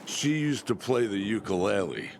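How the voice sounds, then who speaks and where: A man's voice: lowish voice, big pitch swings, normal speed, a little hiss or hum. An actor playing a playful young man in a video game, teasing his drinking friends. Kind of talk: video game character acting.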